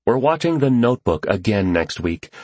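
Male reader as outspoken, wary older mom in audiobook; raised pitch, animated, hesitant. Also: moderate pace, breathy edge, no echo. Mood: wry, teasing.

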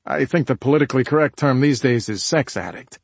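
A man's voice: medium pitch, brisk, gravelly, breathy edge, no echo. A man voicing a blunt, crude, hot-tempered husband in an audiobook crime story. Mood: explaining.

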